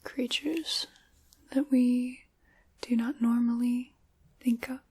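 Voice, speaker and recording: An ancient dark witch, played by a woman, whispers with sly menace in an ASMR roleplay. Her voice is very breathy and fairly high, and she takes her time over every word, drawing it out with theatrical, old-world deliberation. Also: steady tone, full character voice. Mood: conspiratorial, sinister. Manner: slow, deliberate, theatrical, archaic phrasing.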